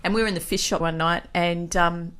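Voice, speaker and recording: A chatty woman telling a story in casual conversation. Her voice is bright and she laughs easily. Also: fairly low pitch, steady tone, brisk, smooth. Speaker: radio show co-host. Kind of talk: casual chat.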